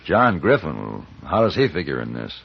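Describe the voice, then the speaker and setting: An American actor playing a suave, self-assured hired gunfighter in an old-time radio Western, dry, urbane and terse. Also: male voice, very deep voice, big pitch swings, moderate pace, gravelly, husky, full character voice. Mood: cool, direct. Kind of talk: old-time radio drama acting and first-person narration. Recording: dull, band-limited old radio recording.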